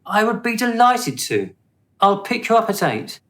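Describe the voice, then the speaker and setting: An actor playing a polite, hesitant gentleman courting a colleague in a radio play. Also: sits high, big pitch swings, unhurried, full character voice. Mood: gallant, playful.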